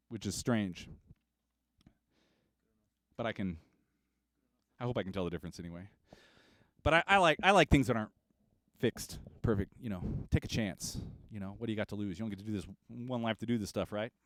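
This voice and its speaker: A man hosting a casual livestream from his recording studio, talking in a relaxed, rambling way. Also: mid-range voice, dry recording. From Kansas. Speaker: studio owner and livestream host.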